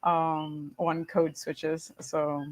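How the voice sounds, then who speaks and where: A young woman, speaking slowly in a lowish voice. She asks questions and shares her views as a student interviewer, and her sentences often trail off into soft asides. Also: little pitch movement. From Puerto Rico. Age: young adult. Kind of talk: academic podcast interview.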